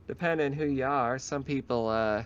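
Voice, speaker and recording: A man's voice: medium pitch, moderate pace, muffled recording, natural voice. A man voicing a folksy, flirty woman who keeps a frontier store in a tabletop game, drawling and wry. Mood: coy, businesslike. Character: folksy, flirty woman liquor store keeper on desert colony.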